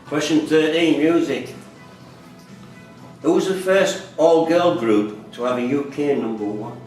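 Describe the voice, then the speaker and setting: A man's voice: a little high, measured pace. A man reading out questions as the quiz master at a live quiz. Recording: echoey room, distant mic, loud background music.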